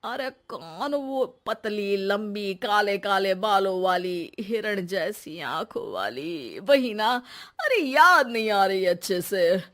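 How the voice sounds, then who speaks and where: Cartoon husband, played by a woman: smitten, fawning, easily flustered, stammers, unhurried pace. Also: medium pitch, expressive, a bit bright. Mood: flirtatious. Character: flustered, flirtatious middle-class husband, a fawning man. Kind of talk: Hindi cartoon comedy acting.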